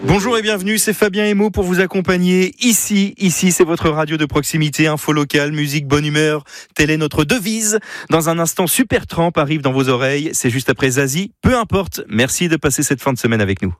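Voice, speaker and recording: A man welcomes listeners in an upbeat radio presenter voice that sits a little high. His voice is dry and close, with faint music playing under it. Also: fairly quick, dry recording. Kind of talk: radio station introduction.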